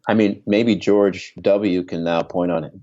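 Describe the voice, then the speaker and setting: A man in his fifties, a guest musician telling candid stories in an interview, reflective and quick to laugh, often trailing off and restarting. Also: deep voice, fairly even intonation, mellow, highs cut off. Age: 50s.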